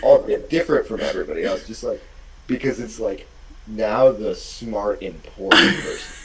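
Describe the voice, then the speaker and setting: Sarcastic young podcast co-host, low voice. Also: male voice, normal speed, a bit bright, slightly rough, rough recording. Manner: sarcastic, mocking, swearing. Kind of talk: casual profane reaction chat.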